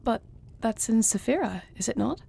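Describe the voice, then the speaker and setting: A woman playing an affectionate, dutiful queen in an intimate fantasy audio roleplay, speaking softly and formally with measured, murmuring diction. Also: fairly high pitch, animated, gravelly, breathy edge, heavily acted. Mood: intrigued, pleased. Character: affectionate, dutiful dragon queen, newly wed to a princess.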